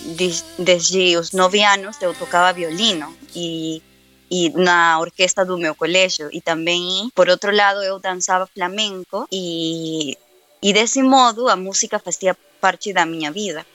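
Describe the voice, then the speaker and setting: A young woman guest, speaking Portuguese slowly and carefully. Her voice is bright and clear, and she stops often to restart words. Faint music plays behind her. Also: on the low side, fairly even intonation. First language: Spanish. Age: young adult. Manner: hesitant, halting, often restarting words and laughing. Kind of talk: live interview.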